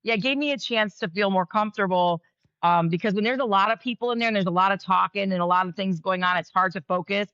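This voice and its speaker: A woman co-hosts a podcast, talking in a lively way with plenty of ums. Her voice is bright and sharp. The recording is dry, slightly dull and lo-fi. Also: mid-range voice, fast talker, clean tone, no room sound. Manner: lively, animated talk with frequent ums. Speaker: podcast co-host. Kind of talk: casual chat.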